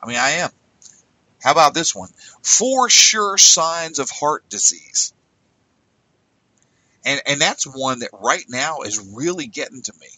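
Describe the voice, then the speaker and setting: Man, late 40s, conversational writing coach, husky edge, set slightly off-mic. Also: fairly high pitch, expressive, unhurried, long pauses, sharp tone, no echo, recorded from a distance, low-quality recording. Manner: conversational, emphatic, a bit exasperated. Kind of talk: casual lecture on headline writing.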